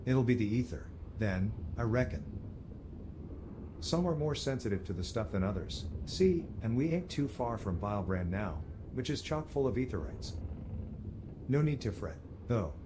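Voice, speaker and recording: A man's voice: mid-range voice, animated, normal speed, background noise, close to an everyday voice. A man voicing a chatty, friendly travelling peddler in a video game, with a British accent and a folksy, rambling, colloquial way of talking. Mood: reassuring, chatty. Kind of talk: video game character dialogue.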